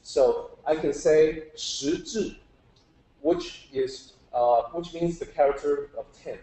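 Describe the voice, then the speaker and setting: Man, informal lecturer, soft dark timbre, patient explanations, questions to listeners, roomy echo. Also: a little high, big pitch swings, takes it slowly. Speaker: teacher giving a public talk. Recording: roomy, echoing live talk.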